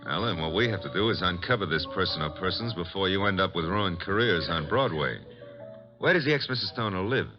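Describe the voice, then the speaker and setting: Radio drama lead: wisecracking flirt of an American detective, deep-pitched, easygoing patter, dull vintage recording. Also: male voice, expressive, brisk, music in the background. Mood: smooth, teasing. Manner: breezy, glib. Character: wisecracking, flirtatious male private detective's legman. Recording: muffled old radio recording.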